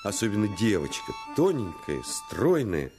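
Reflective storyteller, low voice, lively intonation, measured phrasing, music behind, radio drama. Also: male voice, heavily acted. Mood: warmly recalling. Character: man recalling his boyhood, reflective storyteller. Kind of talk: scripted radio play narration.

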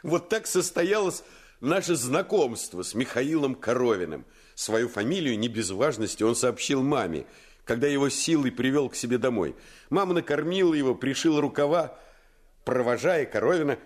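An actor playing an earnest first-person narrator of a boyhood adventure in a radio play, expressive and suspenseful. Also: male voice, medium pitch, normal speed, close to an everyday voice. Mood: animated. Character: grown male narrator recalling his boyhood adventure, earnest.

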